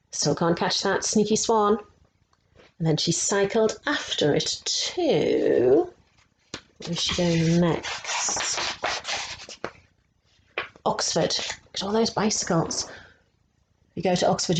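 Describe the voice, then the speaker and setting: A woman's voice: fairly low pitch, a little mellow, slight background noise, rough recording. A woman storyteller reading a picture book aloud to children, adding explanatory asides and putting questions to her young listeners. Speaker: resident story teller.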